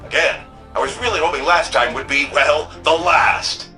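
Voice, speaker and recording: An actor playing a weary, grateful city defender in a video game, speaking in a tired, plainspoken way. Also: male voice, on the high side, normal speed, music in the background, lightly acted. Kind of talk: scripted game dialogue.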